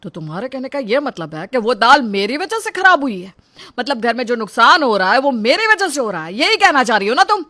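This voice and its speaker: A woman's voice: on the high side, very animated, brisk, sharp tone, pitched above the performer's own voice, full character voice. A woman voicing an angry, domineering middle-aged shopkeeper father-in-law in a moral story, scolding and accusing. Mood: furious. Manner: scolding, accusatory, self-important. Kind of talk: acted dialogue in a moral story.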